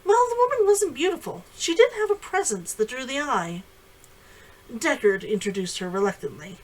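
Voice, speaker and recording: A woman reading her own novel aloud in expressive audiobook-style narration. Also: high voice, moderate pace, rough recording.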